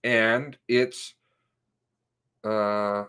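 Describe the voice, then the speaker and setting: A man reading an interview transcript aloud in a halting way, sometimes breaking off with asides of his own. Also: on the low side, little pitch movement, sharp tone, clean tone. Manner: halting, often breaking off to comment on the text.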